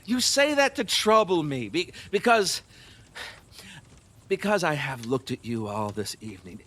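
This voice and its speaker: Wheedling, superstitious king for a stage-style audio play: high, wildly swooping delivery, boastful, lustful and unsteady. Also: sing-song, fairly bright tone, full character voice. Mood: wheedling, pleading. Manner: pleading, boastful, erratic, with nervous laughs and halting repeats. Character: lustful, fearful, superstitious middle-aged male king, wheedling and volatile. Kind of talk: theatrical audio play acting.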